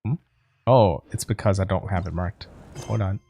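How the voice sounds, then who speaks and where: A woman's voice: extremely low pitch, fairly even intonation, normal speed, dark tone, breathy edge. A woman streaming a video game, giving casual commentary and reading some dialogue aloud.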